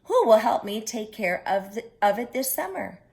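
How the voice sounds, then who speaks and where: A woman voicing an industrious, self-reliant little hen in a storybook, earnest and deliberate. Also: a little high, big pitch swings, vocal fry, darkish tone. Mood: earnest, determined. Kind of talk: storybook character voice.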